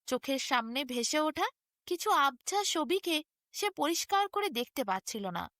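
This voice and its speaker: A woman narrating a bedtime fairy tale as an expressive storyteller, with dramatic, drawn-out emphasis. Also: sits high, no echo, clean studio sound.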